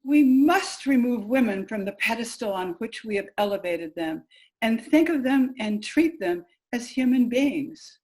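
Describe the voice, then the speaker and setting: A woman's voice: fairly high pitch, expressive, measured pace, darkish tone, rough recording. A woman playing an earnest, resolute suffragist, reciting with formal, emphatic oratory in readers' theater.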